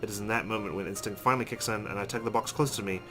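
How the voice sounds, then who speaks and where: Male gamer reading visual novel text aloud; somewhat low pitch, background music. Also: racing. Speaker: let's play host. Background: game music.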